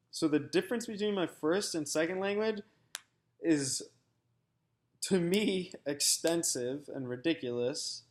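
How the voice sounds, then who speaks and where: A young American man, a graduate student, giving a recorded personal statement in a halting, thoughtful way with many fillers and restarts. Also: mid-range voice, a little mellow. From United States.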